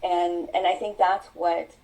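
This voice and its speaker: A woman whose native tongue is Mandarin shares her story as a podcast guest. Her voice sits low and mellow and sounds set back from the microphone. She speaks earnestly, drawing out her phrases. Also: little pitch movement. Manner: earnest, reflective, drawn-out phrasing. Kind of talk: personal storytelling in an interview. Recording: voice sounds distant from the mic.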